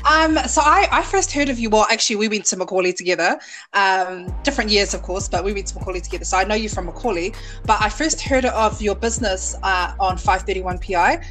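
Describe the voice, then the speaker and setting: A woman's voice: mid-range voice, quick pace, a bit bright, soft background music, poor audio. A woman from New Zealand hosting a podcast, upbeat and enthusiastic, full of effusive praise for her guest. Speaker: podcast host. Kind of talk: casual interview chat.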